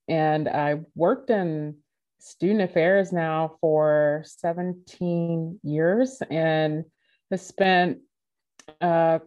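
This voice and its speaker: A woman in her forties, a podcast guest answering questions in a lively, conversational way with frequent laughs. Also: sits low, highs cut off. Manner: animated, laughs while telling stories.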